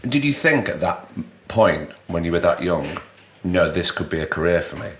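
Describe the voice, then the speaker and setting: A man with a very low voice hosts a casual podcast interview. He prompts and reacts informally, as in everyday conversation. Noticeable location noise sits behind his voice. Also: lively intonation, normal speed, a bit bright. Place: on location in Soho, London.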